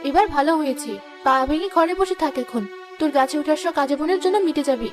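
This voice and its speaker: A woman's voice: sits high, quick pace, over music, natural voice. A woman voicing an anxious, scolding village mother in a cartoon fairy tale. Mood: worried scolding. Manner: exasperated, nagging.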